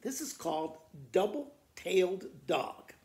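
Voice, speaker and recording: Gravelly older man reading children's poems. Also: high-pitched, lively intonation, takes it slowly, a little mellow. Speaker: school principal reading to students. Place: living room by a fireplace, indoors. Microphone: camera a short distance away. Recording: roomy indoor echo.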